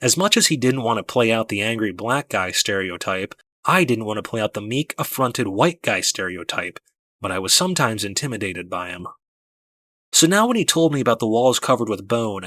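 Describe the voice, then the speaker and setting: A man narrating a first-person story from a script in a measured, dramatic storytelling manner. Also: lowish voice, big pitch swings, fairly bright tone, no echo. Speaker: audiobook narrator.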